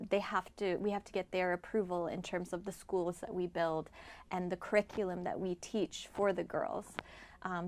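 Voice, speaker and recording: A middle-aged woman from Iowa, born in Afghanistan, explaining her work conversationally as an interview guest. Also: on the low side. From born in Afghanistan, grew up in rural Iowa. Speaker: interview guest, doctor and nonprofit member.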